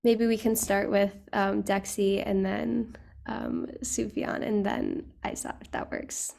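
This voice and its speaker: A woman hosting an online session, introducing guest speakers in a halting, formal and courteous way. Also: mid-range voice, flat delivery, vocal fry, darkish tone. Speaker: host. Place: online video call.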